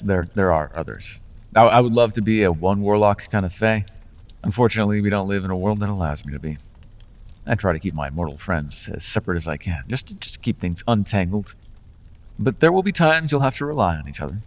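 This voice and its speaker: A man's voice: on the low side, in a large hall. A man voicing a playful male fae patron in an ASMR fantasy roleplay, talking in a charming, teasing, conversational way with small restarts.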